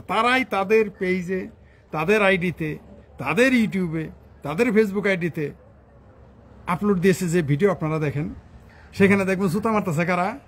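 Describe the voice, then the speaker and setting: A man's voice: high voice, a bit bright, breathy edge. A man with a Bangladeshi Bengali accent delivers an informal political rant, combative and scornful, swearing freely and firing off rhetorical questions. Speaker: political commentator.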